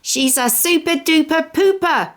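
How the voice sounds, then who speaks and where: A woman's voice: very high voice, expressive, measured pace, voice pushed up. A woman voicing a gleeful, proud little toddler boy in a children's storybook, with a childish, beaming delivery.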